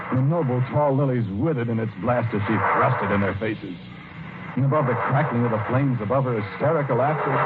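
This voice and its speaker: An actor playing a haunted, fearful husband in an old-time radio horror drama, speaking slowly and haltingly with brooding, heavy pauses. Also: male voice, lowish voice, very noisy, lightly acted. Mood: horrified. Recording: muffled, tinny vintage radio recording with music. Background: loud music.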